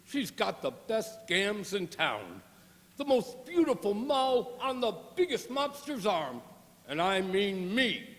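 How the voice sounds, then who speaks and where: An actor playing a boastful, bossy 1920s mobster boss in a gangster radio play, full of slang. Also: male voice, high voice, very animated, slow, a bit bright, heavily acted. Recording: hall with long reverb.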